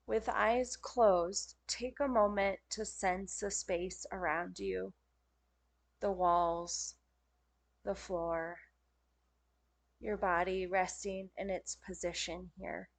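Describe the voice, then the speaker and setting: Slow-paced woman guiding meditation. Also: mid-range voice, steady tone, creaky. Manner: gentle, unhurried, soothing instruction with pauses. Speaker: meditation teacher. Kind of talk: guided yoga nidra meditation.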